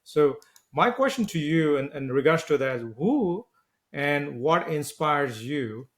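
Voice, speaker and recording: A man's voice: on the high side, expressive, measured pace, fairly bright tone. Rambling male podcast host. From Nepal. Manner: rambling, repeats words while forming questions. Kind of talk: interview questions.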